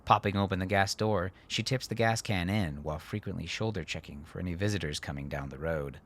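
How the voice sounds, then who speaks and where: A man reading dramatic fiction as an audiobook narrator, with a measured, deliberate delivery. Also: deep voice.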